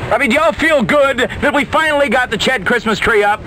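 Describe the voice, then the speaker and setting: A man's voice: extremely high pitch, brisk, pushed and bright. A male radio disc jockey doing comedic banter in a mock-ceremonious, exclamatory way on an old seventies aircheck. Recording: noisy 1970s radio aircheck.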